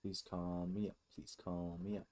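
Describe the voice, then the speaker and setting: A man's voice: low-pitched, little pitch movement, normal speed, mellow. A man giving casual, informal gameplay commentary as a let's-play host, thinking aloud as he goes.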